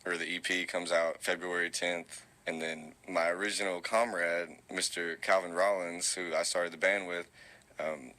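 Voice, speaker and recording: A young man with a very low voice that still carries a bright, forward edge. He talks in a relaxed, rambling way, full of fillers and restarts. The sound is tinny, like an old phone recording. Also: moderate pace. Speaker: musician guest. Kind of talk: casual interview answers.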